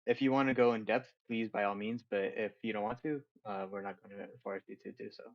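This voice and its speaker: A man's voice: on the low side, fairly even intonation, a little fast. A man moderating a panel, asking polite and somewhat hesitant questions. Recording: dull lo-fi sound.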